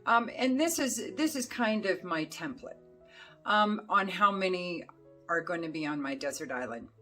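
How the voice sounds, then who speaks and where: A middle-aged woman chats loosely to the camera about perfume, her voice hoarse and sitting a little low. She rambles along with plenty of ums and restarts. Faint music plays softly behind her. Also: animated, moderate pace. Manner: rambling, chatty, with many fillers. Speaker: fragrance reviewer. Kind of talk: casual chat to camera.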